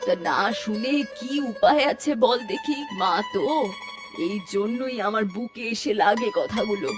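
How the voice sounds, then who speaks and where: A woman's voice: on the high side, a little slow, lightly acted. A woman playing a worried middle-aged mother in a cartoon, reproaching and pleading with her grown son. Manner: nagging, pleading. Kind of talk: Bengali moral-story cartoon voice acting. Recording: plucked string music behind.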